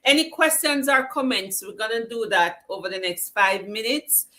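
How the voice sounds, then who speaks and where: A woman's voice: on the high side, expressive, normal speed, pushed and bright. Upbeat woman hosting a live summit. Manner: upbeat, reads chat comments aloud. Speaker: summit host.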